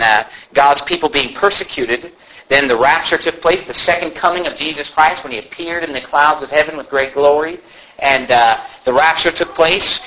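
American guy preaching a sermon, sharp intense voice, kinda muffled lofi audio w a bit of noise, very emphatic. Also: fairly high pitch. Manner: emphatic, preachy, with rhetorical questions and repetition for emphasis. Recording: muffled lo-fi with faint background noise.

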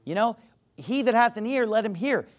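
A pastor delivering an emphatic, repetitive sermon, mocking at times. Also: male voice, high-pitched, expressive, normal speed.

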